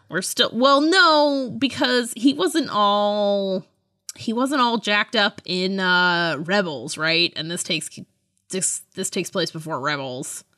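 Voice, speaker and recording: A woman's voice: medium pitch, slow pace, pushed and bright, dry recording, studio quality. A woman co-hosting a podcast in casual chat, with a giggly, self-deprecating way of talking. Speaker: podcast co-host.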